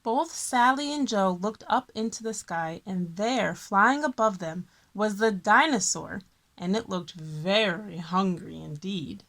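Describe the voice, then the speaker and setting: A woman's voice: mid-range voice, lively intonation, measured pace, a bit bright. A woman hosting a children's story time, reading a picture book expressively with friendly asides and stressed key words.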